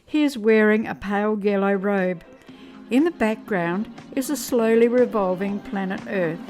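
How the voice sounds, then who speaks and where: A woman's voice: medium pitch, unhurried, a little mellow, faint music behind. A woman reading scripted, descriptive narration for an amateur radio play.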